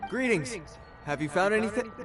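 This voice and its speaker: A man's voice: high-pitched, lively intonation, normal speed, over loud music. A man voicing a dutiful patrol guard in a game, who speaks formally and gets flustered around children. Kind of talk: game voice acting.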